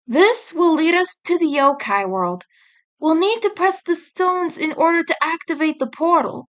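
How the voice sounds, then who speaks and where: A woman's voice: extremely high pitch, animated, measured pace, pitched above the performer's own voice. A woman voicing a kindly little fairy guide in a storybook, speaking in a reassuring, instructive way. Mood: guiding, reassuring.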